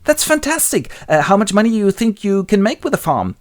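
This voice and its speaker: A man playing an excitable, wishful younger grown-up son, eager and exclaiming, in a comic retelling of a play. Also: sits high, fairly quick, pitched above the performer's own voice. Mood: sarcastic.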